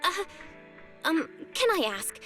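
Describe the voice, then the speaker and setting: A woman's voice: very high voice, very animated, takes it slowly, sharp tone, faint music behind, heavily acted. An actress voicing a polite, earnest young woman in a video game, friendly and curious, a little hesitant.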